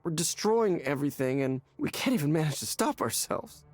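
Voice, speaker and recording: An actor playing a despairing, hollow man in a visual novel game, speaking haltingly and repeating words as he searches for them. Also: a little high, animated. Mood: anguished, bitter. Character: despairing, hollow man confiding in a counseling session.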